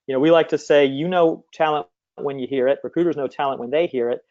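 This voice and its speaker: A man's voice: a little high, fast talker, darkish tone. A man speaking conversationally as an interview guest, explaining things over slightly muffled call audio. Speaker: guest, company CEO and former recruiter.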